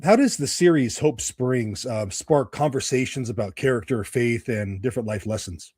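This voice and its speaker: A man's voice: medium pitch, normal speed, darkish tone, husky, no echo, clean studio sound. A middle-aged American man hosting a podcast, casually interviewing a guest and chatting. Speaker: podcast host.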